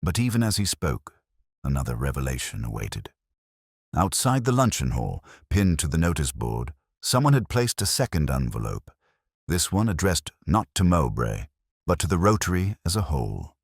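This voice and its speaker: A man narrating a scripted mystery story in a measured, formal way. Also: extremely low pitch, very animated, slightly rough, no echo, clean studio sound.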